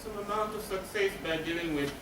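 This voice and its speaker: A man's voice: high voice, fairly quick. A man giving a spoken presentation as a counselor, explaining in short, emphatic phrases and posing questions that he answers himself. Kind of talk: spoken presentation to a live audience. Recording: roomy, distant mic with noticeable background noise.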